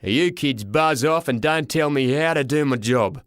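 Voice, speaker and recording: A man voicing a surly, bad-tempered railway porter in an audiobook story, with a deep growl and slow, curt delivery. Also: clean tone, no room sound, studio quality, lightly acted. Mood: angry.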